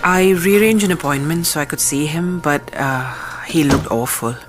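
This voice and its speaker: Actor as a defensive professional under loud music. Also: male voice, fairly high pitch, expressive, normal speed, natural voice. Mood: defensive, uneasy. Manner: hesitant, self-justifying. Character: defensive professional giving a careful account. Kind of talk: naturalistic radio drama acting.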